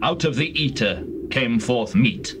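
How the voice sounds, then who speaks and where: A man's voice: medium pitch, animated, a little slow, natural voice. A man playing a bold, headstrong young strongman in a scripture drama, with demanding, theatrical declarations. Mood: confident challenge. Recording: noisy, hissy old cassette tape.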